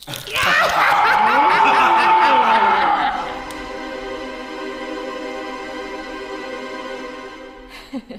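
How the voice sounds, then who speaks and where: A man playing a monstrous, menacing but obedient creature with drawn-out delivery in a live horror radio play. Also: very high-pitched, wildly swooping pitch, pushed and bright, full character voice. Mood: slow menace. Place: live in an echoing anatomy theatre. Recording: reverberant hall, music behind.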